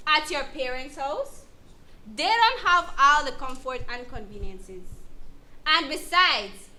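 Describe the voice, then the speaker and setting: Woman acting as firm legal-rights guide, lively swooping pitch, unhurried, emphatic, instructive. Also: heavily acted. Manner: emphatic, deliberate explaining. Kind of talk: instructive scripted dramatization.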